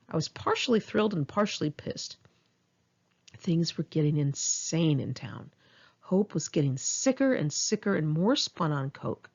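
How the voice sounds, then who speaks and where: A woman's voice: fairly low pitch, darkish tone, dull audio. An American woman reading her own memoir aloud in a candid, conversational storytelling manner. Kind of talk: first-person audiobook narration.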